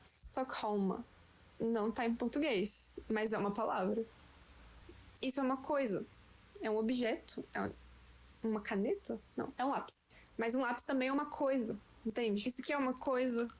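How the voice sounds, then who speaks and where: A young Brazilian woman giving a slow, patient, playful lesson at home to a friend. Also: on the high side, poor audio. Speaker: language teacher for a friend. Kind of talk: casual home-made lesson.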